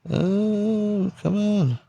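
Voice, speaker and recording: A man's voice: high-pitched, steady tone, drawn-out words, gravelly, warm tone, slightly rough, no room sound. Loose, candid man co-hosting a podcast. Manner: loose and candid, swears freely, laughs between points. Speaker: podcast co-host. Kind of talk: casual sports chat.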